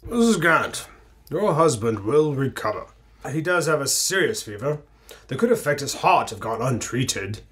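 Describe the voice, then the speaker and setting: Polite old-fashioned doctor, calm and formal, lively voice. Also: male voice, medium pitch, moderate pace. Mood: reassuring. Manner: formal, reassuring. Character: reassuring family doctor, formal middle-aged man. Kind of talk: old-time radio drama acting.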